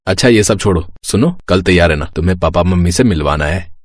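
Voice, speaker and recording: A man voices the husband, father and doctor of a cartoon family story. His voice sits low and the recording is dry and close. He talks in a plain, steady way. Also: brisk, dry recording. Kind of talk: cartoon dialogue acting.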